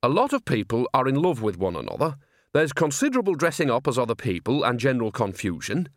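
A man's voice: mid-range voice, very animated, fast, dry recording, clean studio sound. A man voicing a cheerful, gossipy old woman witch. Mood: chatty. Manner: gossipy, breezy.

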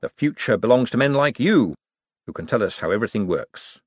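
A man's voice: medium pitch, lively intonation, brisk, dry recording, clean studio sound, voice pushed up. A man voicing a glib, persuasive male con man turned banker. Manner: smooth and persuasive.

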